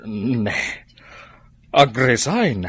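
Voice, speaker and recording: An evasive, unfaithful husband in a radio drama. He speaks very slowly and protests with widely swinging intonation. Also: medium pitch, big pitch swings, fairly bright tone, slightly rough, no room sound, heavily acted. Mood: defensive. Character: evasive, cheating adult husband. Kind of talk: Ilocano radio drama acting.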